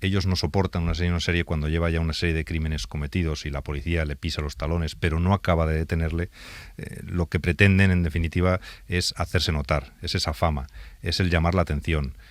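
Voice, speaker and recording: A police inspector from Spain with a Spanish accent, answering interview questions hesitantly, with many fillers. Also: male voice, low voice, steady tone, fast talker, dry recording.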